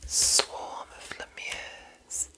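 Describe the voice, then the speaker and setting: A woman reads in a hushed ASMR whisper, entirely breathy and unvoiced. She takes her time over each short, deliberate phrase, with a crisp, sibilant brightness to the whisper. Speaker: ASMR host reading a monster manual.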